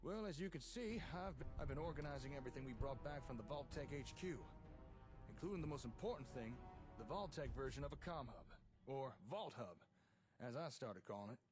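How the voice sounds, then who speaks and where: A man's voice: mid-range voice, lively intonation, a little fast, over music, lightly acted. A man playing an easygoing, enthusiastic settlement tinkerer, chatting and explaining in a folksy way in video game dialogue. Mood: explaining, keen.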